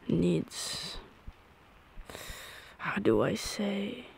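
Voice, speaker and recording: A woman's voice: mid-range voice, gravelly, a little mellow, a little hiss or hum, low-quality recording. A woman doing an intimate, often whispered ASMR girlfriend roleplay in a playful, giggly, affectionate manner.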